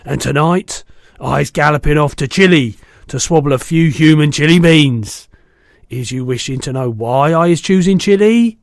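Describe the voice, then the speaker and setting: A man's voice: fairly high pitch, normal speed, gravelly, no room sound, clean studio sound. A man voicing a brutish, greedy, man-eating giant in a storybook, boastful and bullying, with mangled words. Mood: gloating, boastful.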